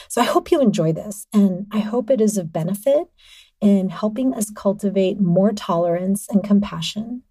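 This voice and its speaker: A woman hosting her own podcast, talking alone in an upbeat, chatty way, with a slightly raspy voice and frequent laughs. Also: lowish voice, dark tone, no echo. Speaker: podcast host. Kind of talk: solo podcast monologue.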